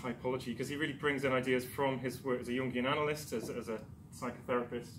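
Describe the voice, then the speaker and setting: A man's voice: mid-range voice, steady tone, fast talker. Man talking in an echoey lecture room. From Newcastle, England. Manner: chatty, self-deprecating, many ums and restarts. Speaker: conference speaker. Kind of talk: presentation talk. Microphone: far camera. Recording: echoey room with background noise.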